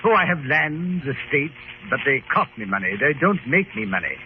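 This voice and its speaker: An earnest, hard-up lord of a country manor. His voice is fairly high and very animated, with theatrical emphasis. The old radio drama sounds thin and hissy. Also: moderate pace, narrow, tinny audio. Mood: insistent.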